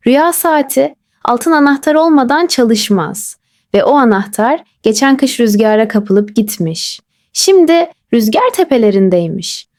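A woman voicing a wise, calm old male butterfly in a storybook, speaking slowly and soothingly. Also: fairly high pitch, no echo, studio quality.

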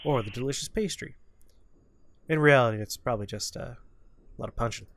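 A man with a bright, forward voice commentates casually over a video game. His delivery is wry and playful, at an ordinary pitch for a man. There is some faint noise behind him. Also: brisk, gravelly. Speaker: gaming show host.

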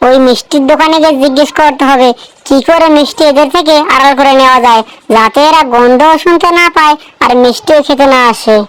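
A woman's voice: high-pitched, fast talker, fairly bright tone, a little hiss or hum, poor audio. A woman voicing an anxious, deferential fox husband in a cartoon, pleading and fretful. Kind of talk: cartoon character acting.

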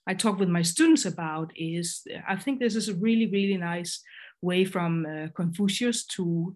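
A middle-aged woman from Denmark with a Danish accent, giving a video-call lecture on design, hesitant with many fillers and restarts. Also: lowish voice. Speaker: graphic designer and educator. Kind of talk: online presentation lecture.

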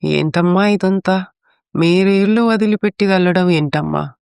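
A woman's voice: fairly low pitch, normal speed, creaky, a little mellow, clear, resonant tone, dry recording, studio quality. A woman playing an elderly mother-in-law in a family story, caring but chiding. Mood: concerned.

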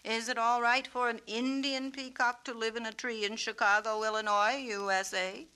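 Woman as a kindly, wise, bright-voiced peacock. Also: fairly high pitch, fairly even intonation, smooth. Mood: patient, wise. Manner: measured, patient, instructive. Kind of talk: storybook character reading.

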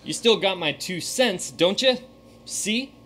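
A man's voice: high-pitched, expressive, takes it slowly, a bit bright, husky, heavily acted. A man playing a secretive showman magician. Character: famous stage magician, now a ghostly guide. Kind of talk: radio drama acting.

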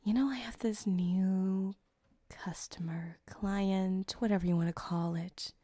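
Woman acting a soft, slow, flirty cyberpunk back-alley surgeon woman. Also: mid-range voice, fairly even intonation, no room sound, natural voice. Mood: coaxing, sly. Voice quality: soft, breathy, often slipping into whispers. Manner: teasing, unhurried, intimate, giggly. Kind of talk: ASMR roleplay. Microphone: close binaural microphone, dry.

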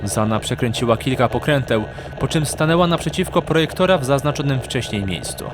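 A man narrating a fantasy audio drama in a measured, suspenseful storytelling manner. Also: mid-range voice, expressive. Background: music.

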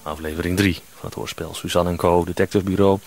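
Low-voiced male radio announcer. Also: steady tone, fast, darkish tone, faint music behind. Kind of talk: scripted radio drama credits.

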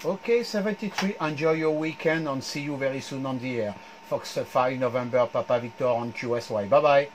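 A man's voice: medium pitch, brisk, hardly any pauses, noisy background. A middle-aged man with a French accent making amateur radio contacts, spelling out call signs phonetically. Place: small home radio room. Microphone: headset.

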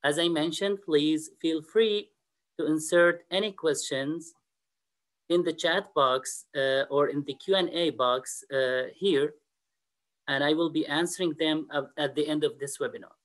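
A man whose first language is Arabic, an admissions officer giving a webinar presentation over a computer mic, often pausing on fillers. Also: on the high side, fairly bright tone. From Middle East and North Africa. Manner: hesitant, frequent uh fillers and restarts.

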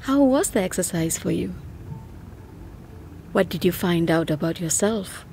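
A woman guiding a breathing meditation in a soothing, unhurried way, as scripted narration. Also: on the low side, lively intonation, gravelly, a little mellow, slight background noise.

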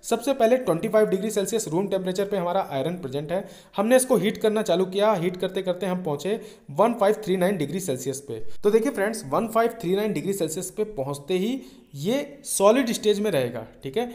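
A man with an Indian accent and a notably high voice delivers an explanatory lecture for exam students. He is didactic, going through each point step by step and regularly checking that listeners follow. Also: darkish tone. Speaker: engineering lecturer.

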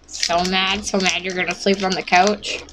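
Woman, thirties, English not native, giggly and teasing, mid pitch, hissy home recording. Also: little pitch movement, moderate pace. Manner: giggly, teasing, frequent laughs. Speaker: card-opening channel co-host. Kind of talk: casual chat while opening cards. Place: home room with cards on a table. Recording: noisy home camera recording.

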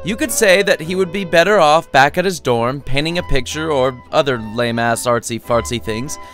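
A man's voice: on the high side, moderate pace, fairly bright tone, soft background music. A man reading his own comic story in an irreverent, sardonic, chatty and theatrical way, addressing the listener directly. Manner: irreverent, sardonic, foul-mouthed. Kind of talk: comedic storytelling narration.

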